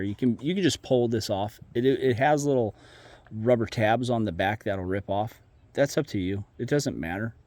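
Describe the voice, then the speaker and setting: A man's voice: medium pitch, darkish tone, no room sound. A man giving a casual how-to walkthrough of his own vehicle work, plainspoken and folksy, trailing off and restarting as he points things out, recorded outdoors on a camera mic while he moves around. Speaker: car modification vlogger.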